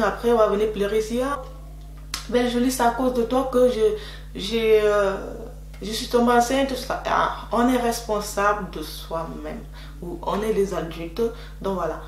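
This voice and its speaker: Chatty woman in an echoey room. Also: mid-range voice. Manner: chatty, repetitive, frequent "donc voilà" fillers. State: slightly congested from a cold. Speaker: beauty vlogger. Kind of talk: casual advice vlog. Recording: echoey room with faint hum.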